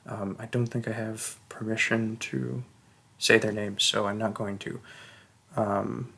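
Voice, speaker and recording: A man with a gravelly, creaky voice at a medium pitch talks casually to his listeners. He takes his time, halting often, as a roleplay creator giving an informal aside. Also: a bit bright.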